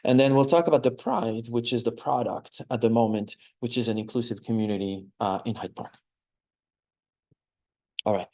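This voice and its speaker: A man's voice: mid-range voice, fairly even intonation, normal speed, darkish tone. A man in his forties with a Lebanese accent presents as an architect in an informal lecture, explaining things conversationally and checking in with listeners.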